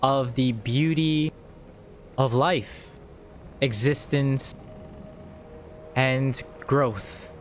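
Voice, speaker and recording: A man narrates as game master of a tabletop game, taking his time over each phrase. He reads lore in short, halting pieces and pauses to look things up. A faint hiss sits under his voice. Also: mid-range voice.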